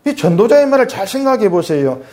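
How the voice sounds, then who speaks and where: A middle-aged male pastor preaching conversationally, asking his congregation questions and dropping low and soft when he reads scripture. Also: very animated, fast talker. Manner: swings between raised emphatic lines and quiet low asides; reads scripture aloud in a lower, softer voice. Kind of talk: sermon.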